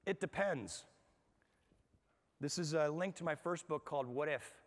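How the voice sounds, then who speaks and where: Energetic man lecturing in a reverberant hall. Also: a little high, measured pace. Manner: energetic and conversational, asks the audience questions. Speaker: speaker at a management workshop. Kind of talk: live seminar talk to an audience. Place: large hall with an audience.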